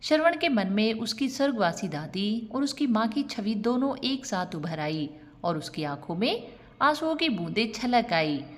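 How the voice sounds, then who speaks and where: A woman narrating a scripted moral story in an expressive, sentimental way. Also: a little high, a little slow. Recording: long reverb on the voice.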